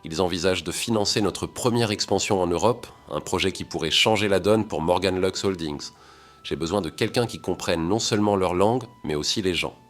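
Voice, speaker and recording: Narrator reading as a calm, deep-voiced tycoon. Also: male voice, brisk, lightly acted. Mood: earnest. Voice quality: deep, warm. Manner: low and intimate. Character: wealthy hotel magnate, man, calm and sincere.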